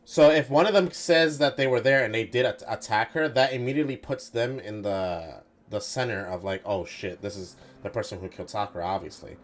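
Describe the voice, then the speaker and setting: Man, fairly low voice, casual game commentary, informal, sweary, thinking aloud. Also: brisk, few pauses. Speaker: streamer playing a mystery game.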